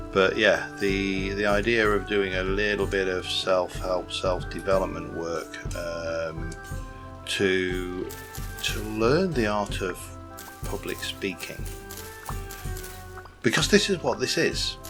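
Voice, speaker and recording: A man from South Yorkshire with a light accent, rambling and self-deprecating through casual game commentary, full of fillers and restarts. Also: low voice. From South Yorkshire, England. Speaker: gaming YouTuber. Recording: gameplay with background music.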